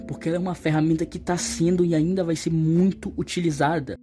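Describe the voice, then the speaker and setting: A man's voice: fairly high pitch, little pitch movement, quick pace, dark tone. Young Brazilian man giving opinionated commentary. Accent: Brazilian Portuguese. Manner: colloquial, emphatic when criticizing. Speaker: video essayist. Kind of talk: opinionated commentary, casual video essay. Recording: voice-over over background music. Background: music.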